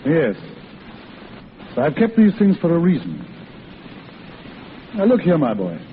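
Kindly middle-aged doctor, avuncular, deliberate, higher-toned, 1940s radio drama, faint hiss. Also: male voice, huge pitch swings. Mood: earnest, probing. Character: kindly, fatherly head doctor of a rehabilitation hospital. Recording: tinny, hissy old radio broadcast.

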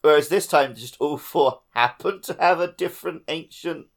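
A man's voice: on the high side, normal speed, vocal fry. Wry man chatting casually. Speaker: podcast co-host. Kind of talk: casual chat about a video game.

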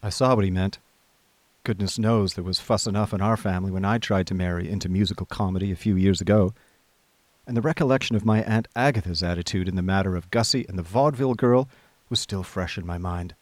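An actor playing an idle, chummy young upper-class gentleman, narrating a radio drama in breezy, wordy old-fashioned slang. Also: low voice, quick pace, no echo, natural voice. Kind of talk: scripted first-person radio drama narration. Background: music.

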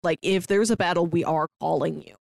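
A woman's voice: on the low side, a little fast, creaky, a little mellow, no echo, clean studio sound. A woman co-hosting a podcast in a casual discussion, articulate and given to acting out quotes to make her points. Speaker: podcast co-host. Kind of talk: casual book discussion chat.

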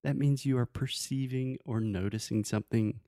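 A man's voice: medium pitch, fairly even intonation, normal speed, warm tone, no echo, studio quality. A man who is a native English speaker, teaching in a casual conversational way with everyday examples and checking that the listener follows. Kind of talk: casual conversational language lesson.

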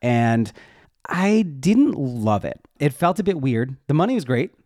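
A man's voice: mid-range voice, sing-song, a little slow, warm tone, no room sound, clean studio sound. A man talking casually and informally as an interview guest, explaining how he works in a conversational way. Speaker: interview guest, web developer and educator.

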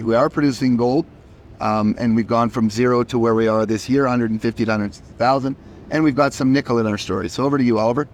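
A man's voice: mid-range voice, a little hiss or hum. A company chief executive, a man who is losing his voice, answering interview questions emphatically and often repeating words as he starts.

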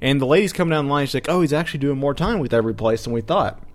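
A man's voice: medium pitch, lively intonation, rapid-fire. A man hosting a podcast, recounting an event in casual chat with a crude, mocking manner. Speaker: podcast host.

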